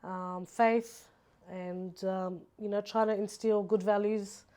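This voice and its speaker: Aussie woman, podcast guest, mellow voice, chatting casually and kinda reflective. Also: mid-range voice, flat delivery, slow pace, clean tone. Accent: Australian. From Sydney, Australia. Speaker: podcast guest, makeup artist. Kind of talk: casual conversational interview.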